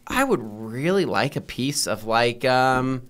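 A man in his thirties talks on a studio podcast in a smooth, resonant voice. He rambles and jokes with his co-hosts and keeps the chat animated. He speaks into a desk mic close up. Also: medium pitch, slow. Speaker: podcast co-host. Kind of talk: casual chat. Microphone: desk broadcast mic.

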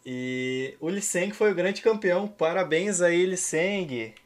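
A man's voice: high-pitched, expressive, slow pace. A man in his thirties with a Brazilian accent, chatting casually and ironically as he hosts and commentates a game stream. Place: indoor room at home.

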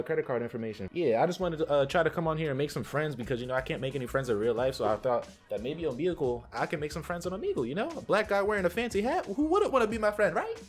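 A young man, a YouTuber, joking with strangers on a video chat in mock-gangster bravado, recorded on a studio microphone in a small home room. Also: on the high side. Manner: playful, mock-gangster bravado with sudden mumbled asides. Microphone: studio microphone on a stand, headphones on.

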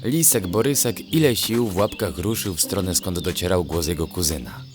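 A man gently narrating a scripted children's bedtime story in a measured, descriptive way. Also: low voice. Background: music.

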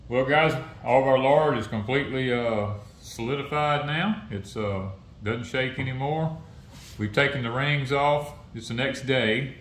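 A man's voice: mid-range voice, measured pace, fairly bright tone, recorded from a distance, slight background noise. Folksy older man, echoey room. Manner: folksy, plain-spoken, opinionated. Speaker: homestead channel host. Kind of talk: casual homestead vlog. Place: home dining room, indoors. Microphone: camera mic from across the room.

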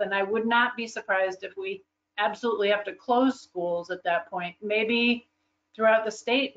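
A woman speaking informally in a meeting as a school board chair, in a halting, hesitant way. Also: mid-range voice. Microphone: video call. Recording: dull lo-fi video call audio.

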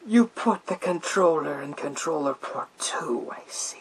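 A man playing a menacing, theatrical psychic warrior in a breathy whisper for ASMR roleplay, speaking slowly through a gas mask. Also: fairly high pitch, expressive, full character voice. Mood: baffled, desperate. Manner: slow, drawn-out, theatrical delivery. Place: small room lined with bookshelves. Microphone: speaking through a gas mask, close to camera.

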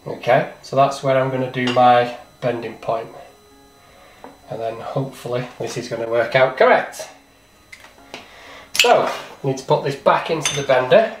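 A man's voice: mid-range voice, a little slow, pauses often, fairly bright tone, faint music behind. A middle-aged man, a plumbing instructor, talks through a hands-on demonstration step by step in a plain, practical, self-deprecating way. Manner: plain, practical, self-deprecating, thinks aloud while working. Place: small training workshop, indoors. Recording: echoey workshop with faint clatter.

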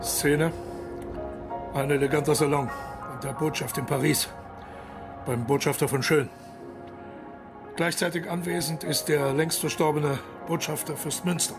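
A man's voice: on the high side, fairly even intonation, a little slow, pauses often, music in the background. An older man playing a self-important, solemn German Kaiser in a radio play, speaking in a pompous, oratorical way. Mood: narrating a dream. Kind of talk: radio play acting, declaimed speech and anecdote.

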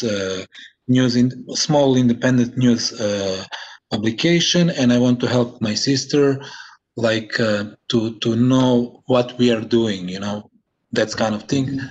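A man's voice: medium pitch, breathy edge, highs cut off. A young man from Serbia with a Serbian accent, introducing himself as a student on a video call, hesitant with many fillers. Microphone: video call webcam.

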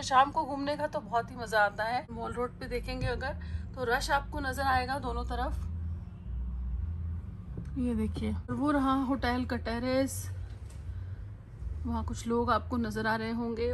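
High-pitched Indian woman vlogging casually. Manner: switches between Hindi and English phrases. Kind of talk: casual travel vlog chat to camera. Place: hotel room by a large window, indoors. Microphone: phone at arm's length. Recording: clearly noisy room ambience.

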